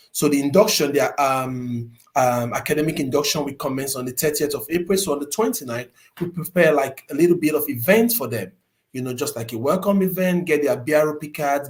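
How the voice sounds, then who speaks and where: A man co-hosting a university panel talk, filling his sentences with ums as he thanks guests and shares anecdotes. His voice sits at a middle pitch. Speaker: co-host, MBA director. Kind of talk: hesitant conversational panel talk.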